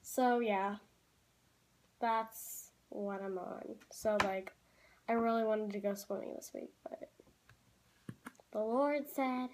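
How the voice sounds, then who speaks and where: A teenage girl vlogging from a room at home, rambling in a casual, chatty way with lots of "like"s and quick apologies. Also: mid-range voice, fairly even intonation, a little hiss or hum. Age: teens. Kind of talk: casual chat to camera. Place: small room at home, indoors.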